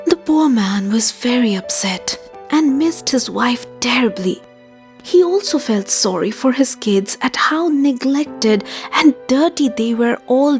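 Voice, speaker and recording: A woman storyteller narrating a scripted folktale for a podcast in a dramatic, measured and suspenseful way. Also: on the high side. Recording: music behind the voice. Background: background music.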